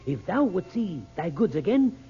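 An actor playing a sly desert caravan leader in an old radio drama, with formal, archaic phrasing. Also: male voice, high-pitched, very animated, moderate pace, vocal fry, dark tone, soft background music, exaggerated voice. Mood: solemn, courteous. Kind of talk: 1940s radio drama acting. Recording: dull old radio recording.

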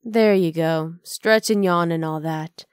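A woman's voice: fairly low pitch, measured pace, clear, resonant tone, dry recording, close to an everyday voice. Close, dry, teasing half-dragon girlfriend voiced by a woman. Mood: teasing, gentle. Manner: casual, coaxing, affectionate teasing. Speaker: ASMR roleplay voice actor. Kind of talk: ASMR roleplay acting.

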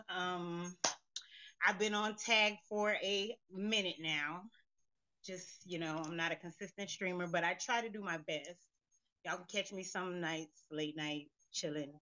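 A woman hosting a casual dating show, chatty, with soft-spoken asides. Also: on the low side, fairly even intonation, measured pace, muffled recording. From Germany, raised in Panama. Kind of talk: casual chat.

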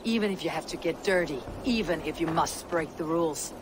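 A stern young Viking warrior woman , played by an actress, from a video game. She speaks in blunt, measured commands and rousing counsel, with clear background noise behind her. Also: deep voice, natural voice. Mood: encouraging. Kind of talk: video game cutscene acting. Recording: outdoor ambience noise behind voice.

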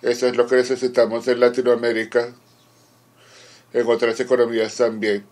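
A man working as a conference interpreter, giving a formal simultaneous interpretation in short, measured phrases with halting pauses. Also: mid-range voice, completely flat delivery, darkish tone.

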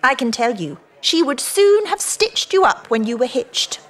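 A brash British woman of about forty in an audio drama. Her voice is fairly high and very animated, with unhurried delivery. She is cynical, blunt and flirtatiously mocking. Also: full character voice. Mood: teasing. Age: middle-aged.

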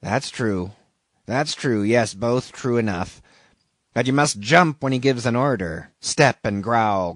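A man's voice: medium pitch, no room sound, lightly acted. A man voicing a shabby old sailor in an audiobook, an ominous, derisive stranger who talks insistently in archaic seafaring speech. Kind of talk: audiobook character reading.

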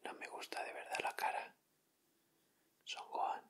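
A man with a Castilian Spanish accent doing gentle, informal ASMR commentary as he hosts an unboxing. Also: measured pace. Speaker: ASMR video host.

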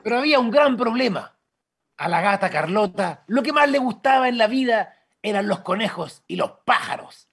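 A man with a husky voice, narrating and talking in an online radio drama workshop. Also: high voice, animated, moderate pace, a bit bright. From Chile. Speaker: narrator and theatre company member. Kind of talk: storybook narration and workshop talk. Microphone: video call.

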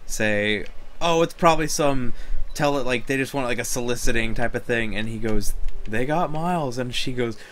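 A man chatting casually while playing a video game, telling stories in an animated way with frequent swearing. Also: medium pitch, moderate pace, noisy background. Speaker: let's play co-host.

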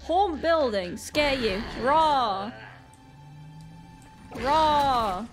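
A young woman with a Northern Irish accent talks through a game, sounding sick and a little off. She is easily flustered and exclaims often, speaking slowly in a slightly high voice, with faint music behind. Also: expressive. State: sick, voice a bit off. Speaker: gaming YouTuber. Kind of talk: casual let's-play commentary.

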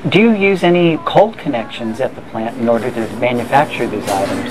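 A man's voice: mid-range voice, animated, fairly quick, over music, low-quality recording. A man asking conversational questions as an interviewer on a tour. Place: busy factory floor. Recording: busy factory background noise.

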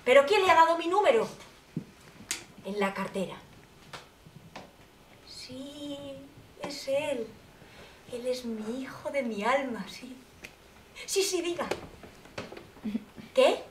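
An actress from playing a poor, lonely, half-mad old woman who pleads slowly on the phone, turning between bitterness and tears. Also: sits high, expressive, echoey room, far from the mic, background noise. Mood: confused. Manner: slow, pleading, swinging between bitter and tearful. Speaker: actress in a monologue. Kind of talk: stage monologue.

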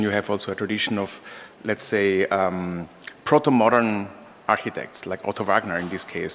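A man's voice: on the low side, a bit bright. A man in his forties from Vienna with an Austrian accent, an architecture professor giving an informal lecture at a lectern, phrasing things hesitantly. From Austria, raised in Vienna. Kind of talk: lecture to an audience. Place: large room, indoors, at a lectern. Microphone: gooseneck lectern microphone. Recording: reverberant lecture hall.

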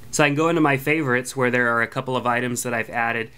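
A man's voice: medium pitch, fast, smooth. A man hosting a podcast, casually walking through an app review with lots of ums and uhs. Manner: relaxed, filler-heavy, friendly. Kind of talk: casual product review voice-over.

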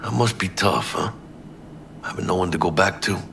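A man's voice: deep voice, moderate pace, gravelly, a bit bright, a little hiss or hum. A man playing a brash, friendly streetwise smuggler in a cyberpunk video game, with a Mexican American accent and slangy, emphatic talk peppered with Spanish swearing. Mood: friendly, chatty.

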